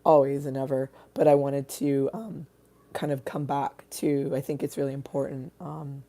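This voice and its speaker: Artist host, woman, very deep and mellow voice, loose rambling livestream talk with frequent swearing. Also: little pitch movement, moderate pace. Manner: rambling, candid, swears often. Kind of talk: casual livestream chat.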